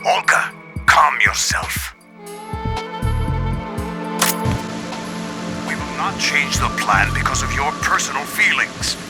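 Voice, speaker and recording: Imperious, controlled villain leader. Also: male voice, medium pitch, big pitch swings. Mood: stern. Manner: measured, imperious. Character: authoritative male terrorist leader, controlled, unbending. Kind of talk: dramatic video game acting. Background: music.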